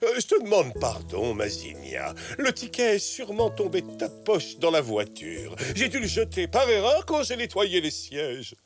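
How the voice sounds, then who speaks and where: A man's voice: sits high, wildly swooping pitch, moderate pace, few pauses, over music. A man voicing a flustered, sheepish zebra father in a children's cartoon, hesitating and blustering through excuses. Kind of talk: French children's cartoon dubbing.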